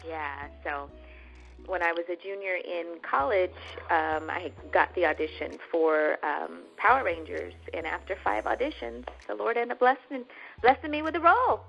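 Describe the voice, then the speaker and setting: A woman from Northern California chatting as an actress guest in an interview, animated and laughing as she talks, bringing up her faith. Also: on the low side, moderate pace, vocal fry, muffled recording, soft background music. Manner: animated, laughing, with religious references. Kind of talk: casual interview chat.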